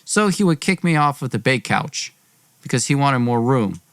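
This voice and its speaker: A man's voice: medium pitch, big pitch swings, normal speed, a bit bright, no room sound, studio quality. A young man hosting a podcast, telling a personal story in an informal, dramatized way. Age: young adult.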